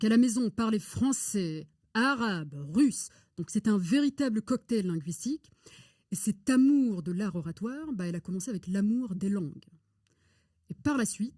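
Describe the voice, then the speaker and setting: A woman speaking as an interview guest, telling her story in an articulate, deliberate, conversational way. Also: medium pitch, dark tone, dry recording, studio quality. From born in Morocco. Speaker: interview guest, public affairs and rhetoric consultant.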